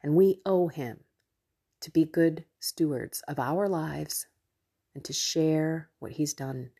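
Low-pitched, slightly nasal woman podcaster. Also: slow, dark tone, breathy edge. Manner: effusive, chatty, talks directly to listeners. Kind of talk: casual devotional monologue to listeners.

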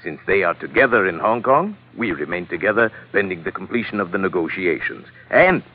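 An oily, pompous colonel in an old radio adventure. His low voice swoops grandly through elaborately courteous phrases, slightly husky, over a tinny broadcast sound. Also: expressive, a little fast, heavily acted. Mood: smugly courteous. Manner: florid, elaborately polite speech. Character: pompous, unctuous, smooth-talking villainous colonel. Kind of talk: old-time radio drama acting.

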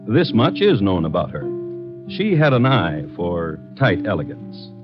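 Lively male storyteller over guitar music. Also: deep voice, unhurried. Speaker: narrator. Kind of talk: wry radio storytelling narration. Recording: dull, lo-fi old radio recording.

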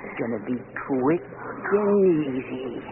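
An actor playing a menacing, gloating gangster with tough street slang in an old radio crime drama. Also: male voice, medium pitch, animated, slow. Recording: noisy, tinny old radio broadcast.

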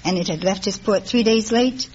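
A woman with a mid-pitched voice, speaking conversationally as a quiz contestant. The recording is an old radio one, dull and faintly noisy. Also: normal speed, a little mellow. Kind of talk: casual conversation with a host. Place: radio studio before an audience.

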